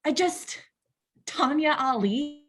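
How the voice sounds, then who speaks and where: A woman acting an anxious, distrustful wife in a table read, with a husky voice. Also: high voice, expressive, takes it slowly, a bit bright, heavily acted. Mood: uneasy, suspicious.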